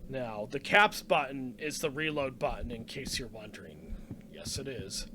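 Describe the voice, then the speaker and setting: Irish gamer guy, mid-pitched, chatty and self-mocking, talking over heavy background rumble. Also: lively intonation, normal speed. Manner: chatty, exclaiming, self-mocking, sometimes muttering under his breath. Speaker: gamer doing a playthrough. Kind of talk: casual gameplay commentary.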